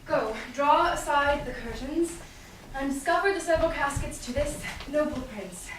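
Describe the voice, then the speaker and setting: Very echoey and distant, a poised young noblewoman played by a woman gives orders in a Shakespeare stage reading. Her voice is high, with faint noise around it. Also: moderate pace, close to an everyday voice. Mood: poised, commanding. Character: poised, commanding young noblewoman hosting a suitor.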